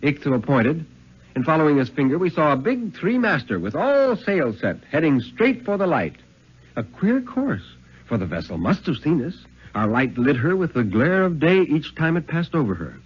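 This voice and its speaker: Husky, animated man reading a thriller. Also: on the high side. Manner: theatrical, suspenseful, deliberate phrasing. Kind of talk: dramatic first-person reading of a suspense story, 1950s radio. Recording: dull vintage 1950s radio recording.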